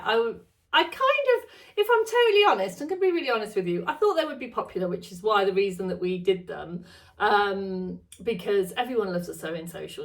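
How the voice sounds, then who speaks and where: A woman chatting casually to camera about sewing from her home studio, her voice a little gravelly, rambling and correcting herself as she goes. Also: medium pitch, distant mic. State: slightly gravelly voice. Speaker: sewing vlogger.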